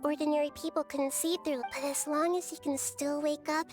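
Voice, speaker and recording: A woman playing a gentle, wise, childlike girl deity in a game, speaking in an earnest, measured, articulate way. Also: high-pitched, little pitch movement, soft background music, close to an everyday voice. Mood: reflective. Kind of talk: scripted game voice acting.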